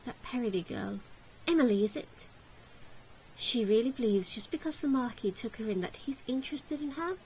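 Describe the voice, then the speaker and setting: Woman voicing a hushed, snide gossip. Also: fairly high pitch, normal speed, slight background noise, lightly acted, rough recording.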